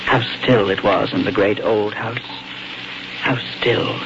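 A man narrating a 1940s radio drama in literary, measured phrasing. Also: on the low side, fairly even intonation. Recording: muffled 1940s radio with loud music.

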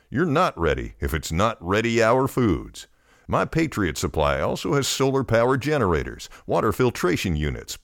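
A man's voice: fairly low pitch, no echo, studio quality. A man reading a scripted ad in a grave, urgent tone. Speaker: ad announcer. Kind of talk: scripted ad read.

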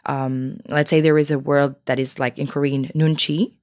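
A woman with a Brazilian accent, co-hosting a podcast in animated casual conversation, often laughing and saying "you know". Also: low voice, normal speed, no echo, studio quality. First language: Brazilian Portuguese. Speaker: podcast co-host.

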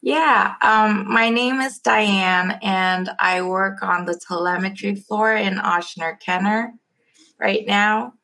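A woman's voice: mid-range voice, fairly even intonation, slow, gravelly, pushed and bright. A woman from South Korea, a guest nurse giving casual interview answers.

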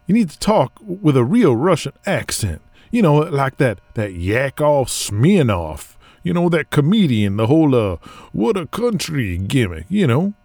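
Brief: pushy cowboy wrestling boss, raised voice, lively and gravelly, a man puts him on. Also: mid-range voice, a little slow, dry recording, soft background music, voice pushed up. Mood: impatient. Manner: impatient, coaching. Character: bossy male cowboy wrestling promoter, impatient.

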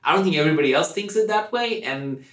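A man's voice: fairly high pitch, animated, quick pace, crowd noise. A man from India with an Indian accent co-hosting a podcast, speaking in a casual, reflective way and often posing questions to himself. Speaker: podcast co-host. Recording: roomy, echoing podcast recording.

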